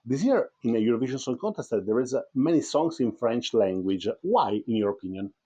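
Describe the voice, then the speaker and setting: A man's voice: medium pitch, sing-song, brisk, dark tone, slightly rough. A man interviewing a singer, asking his questions hesitantly with many fillers.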